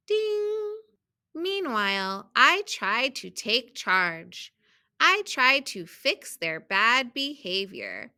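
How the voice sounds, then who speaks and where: A woman voicing an earnest, helpful, overburdened egg from a children's storybook, reading expressively and deliberately with emphatic exclamations. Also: fairly high pitch, sharp tone, heavily acted. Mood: earnest, disapproving. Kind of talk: children's picture book storytelling.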